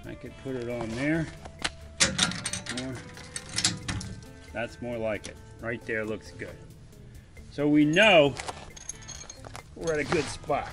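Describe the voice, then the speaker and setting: A man giving a casual step-by-step how-to while working on a boat trailer on his driveway, often turning away from a low camera. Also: takes it slowly, long pauses, over loud music. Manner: plain, practical, step-by-step explaining while working. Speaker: DIY vlogger. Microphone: camera mounted low on the ground, voice often off-mic.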